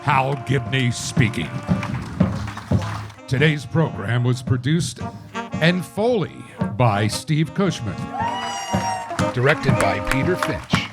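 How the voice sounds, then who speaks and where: A man's voice: mid-range voice, animated, slow pace, long pauses. A man making formal scripted announcements in the style of a 1950s radio crime show. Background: loud live orchestra music.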